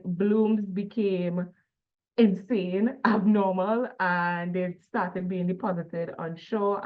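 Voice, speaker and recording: A young woman from Trinidad with a Trinidadian accent, giving a lively, chatty presentation full of asides. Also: fairly low pitch. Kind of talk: conference talk. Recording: tinny phone-like sound.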